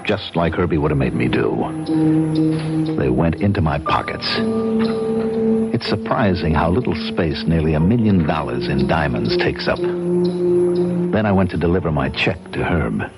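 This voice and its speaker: A man's voice: sits low, wildly swooping pitch, measured pace, pauses often, full character voice. An actor playing a bitter, scheming cheated husband who narrates his revenge in hard-boiled American slang in a radio drama. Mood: cold, vengeful. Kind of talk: 1970s radio drama acting, first-person crime narration. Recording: vintage radio recording with music.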